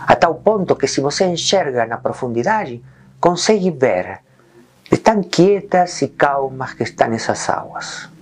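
A man leading a guided meditation in calm, gentle short phrases with long pauses. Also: on the high side.

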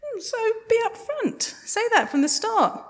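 A woman's voice: extremely high pitch, wildly swooping pitch, unhurried, pitched above the performer's own voice, heavily acted. A woman playing a patient, frank freelance composer who gives coaxing, matter-of-fact advice. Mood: frank, encouraging.

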